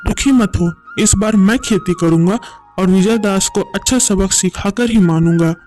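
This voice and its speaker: A man voicing an educated young farmer's son in an animated moral story, polite, earnest and determined. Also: high-pitched, normal speed, soft background music, close to an everyday voice. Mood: resolute. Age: young adult.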